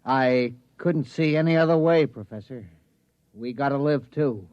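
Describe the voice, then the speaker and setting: A man's voice: mid-range voice, a little slow, lightly acted. A man playing a dutiful, conscientious army sergeant in a 1950s radio drama style. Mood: sober, reasoning. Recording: dull old radio recording.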